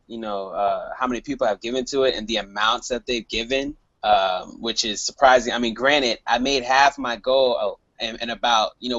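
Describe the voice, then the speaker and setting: A man's voice: medium pitch, a bit bright, no room sound, lo-fi sound. A man being interviewed about his comic project, talking casually with upbeat, optimistic enthusiasm. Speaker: manga artist being interviewed.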